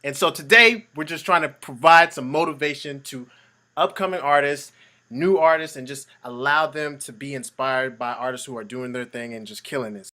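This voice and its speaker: A man's voice: medium pitch, normal speed, bright, forceful tone, no echo. A man hosting an interview show, upbeat and chatty with many fillers. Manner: upbeat, filler-heavy, lots of "man" and "you know". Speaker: host. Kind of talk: casual interview.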